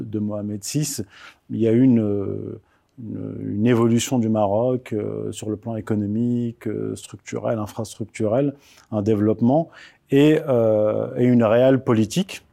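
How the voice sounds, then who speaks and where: A man in his thirties from Morocco, whose first language is Amazigh, answering interview questions in a measured, hesitant way with frequent pauses and restarts. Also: on the low side, gravelly, dark tone. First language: Amazigh (Berber). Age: 30s. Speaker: guest, author.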